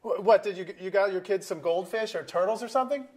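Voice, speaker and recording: An actor playing a teasing, incredulous middle-aged friend in a staged reading of a comic drama, full of questions. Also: male voice, high voice, fast talker, darkish tone, husky. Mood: amused, incredulous. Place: theatre auditorium.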